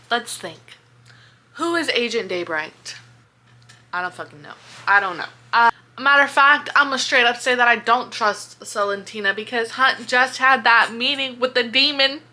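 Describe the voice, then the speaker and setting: Bright, high-voiced young woman thinking aloud. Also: unhurried, pauses often. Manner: animated, swearing, exasperated. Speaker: book reviewer reading along. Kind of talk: casual chat, thinking aloud.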